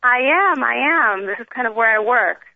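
A woman's voice: a little high, fairly quick, vocal fry, no echo. Young woman on a tinny phone line. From the Bronx, New York. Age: young adult. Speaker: guest, emergency room doctor. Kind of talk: phone interview.

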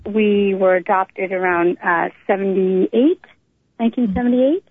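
A woman's voice: medium pitch, a little slow, slightly rough, dry recording. A middle-aged American woman from Northern California, telling her life story as an interview guest in an informal, conversational way over a phone line. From Northern California, United States. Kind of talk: personal storytelling in a casual interview. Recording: narrow tinny phone line.

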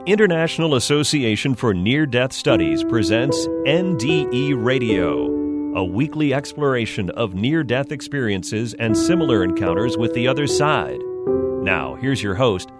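A man's voice: medium pitch, big pitch swings, moderate pace, loud music behind the voice. A man reading a scripted show introduction in an announcer's delivery.

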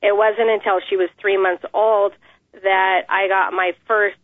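A woman in an interview, giving an earnest, measured account of her family with many pauses. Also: mid-range voice, flat delivery, no room sound. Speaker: interview guest, mother. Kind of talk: phone interview, personal account. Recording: tinny phone line.

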